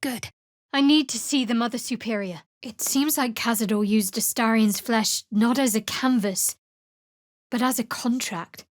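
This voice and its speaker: An actress playing a guarded, sardonic young woman cleric of a dark goddess in a video game. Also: a little high, no room sound, close to an everyday voice. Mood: solemn, guarded. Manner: dry, sardonic, measured. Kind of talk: video game character dialogue.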